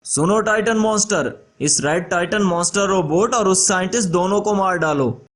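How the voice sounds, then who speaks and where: A man's voice: high-pitched, moderate pace, very noisy, natural voice. A man voicing a greedy, vengeful traitor scientist in a children's story, boastful and threatening. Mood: threatening, commanding.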